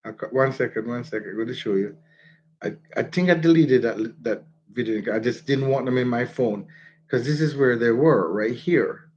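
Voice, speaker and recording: A man with a stuffy nose chats loosely into his phone, rambling from one story to the next and laughing often. His voice sits at a middle pitch, and the audio is dull and lo-fi. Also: normal speed, darkish tone. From Jamaica. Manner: rambling, chatty, laughs often while telling stories. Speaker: vlogger. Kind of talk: casual livestream chat.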